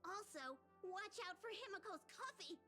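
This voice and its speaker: A woman's voice: extremely high pitch, expressive, moderate pace, sharp tone, soft background music, exaggerated voice. A woman voicing a small cute train conductor creature in a video game, fussy but caring, speaking of itself in the third person. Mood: fussy, complaining.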